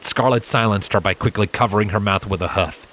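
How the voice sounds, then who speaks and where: A man's voice: lowish voice, fairly quick, fairly bright tone, slight background noise. A man reading fan fiction as a dramatic, scripted storybook narration. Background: music and rain effects.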